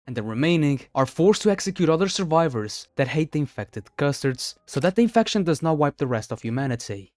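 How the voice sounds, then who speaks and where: A man's voice: a little high, big pitch swings, a little fast, hardly any pauses, darkish tone, no echo. A man reading scripted narration, retelling stories as the narrator of a video. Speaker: narrator of a horror video countdown. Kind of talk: scripted narration, storytelling recap.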